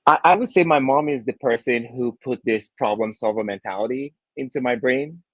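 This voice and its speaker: A man's voice: medium pitch, moderate pace, dry recording. A young man from Nigeria, a startup founder, talking earnestly as a guest with many fillers and restarts. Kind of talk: casual interview talk.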